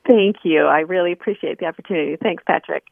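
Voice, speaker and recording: A woman's voice: fairly low pitch, quick pace, creaky, no echo. Woman on a tinny phone line. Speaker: interview guest, scholar. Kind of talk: casual conversational interview. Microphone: telephone call.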